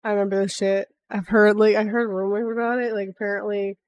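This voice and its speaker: A woman's voice: mid-range voice, level tone, quick pace, a little mellow, clear, resonant tone, no room sound. A woman streamer chatting casually as she reacts to a video, slangy and prone to swearing. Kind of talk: casual live reaction chat.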